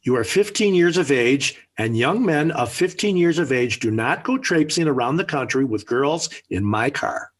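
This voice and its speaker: A man's voice: mid-range voice, lively intonation, normal speed, few pauses, close to an everyday voice. An actor playing a stern, sardonic middle-aged father in a 1940s radio sitcom. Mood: stern refusal. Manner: stern, dry, put-upon. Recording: vintage 1940s radio broadcast.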